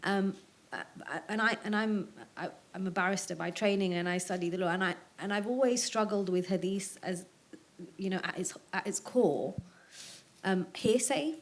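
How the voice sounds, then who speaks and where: A woman's voice: mid-range voice, normal speed. A woman in the audience of a public seminar, asking a long question with many hesitations.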